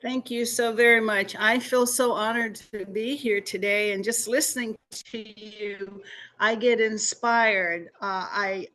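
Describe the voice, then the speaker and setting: A woman's voice: mid-range voice, bright, forceful tone, low-quality recording. A woman with an American accent speaking as a guest at a meeting, formal and earnest in her delivery. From United States. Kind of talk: public talk at a meeting.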